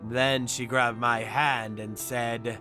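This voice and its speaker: Man acting grim, slow-spoken manga avenger narrator. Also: medium pitch, little pitch movement, music in the background, lightly acted. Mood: resolute. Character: stern, brooding male avenger narrating his hunt. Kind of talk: dramatic scripted first-person narration.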